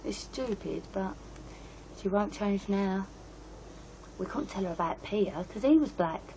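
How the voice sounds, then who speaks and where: A woman's voice: medium pitch, animated, measured pace, dark tone. An actress playing an aggrieved British woman in her twenties, confiding and colloquial, in a radio drama. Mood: bitter, resentful. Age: 20s. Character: bitter, trapped young British woman of twenty-three. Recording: noisy radio play scene with ambience.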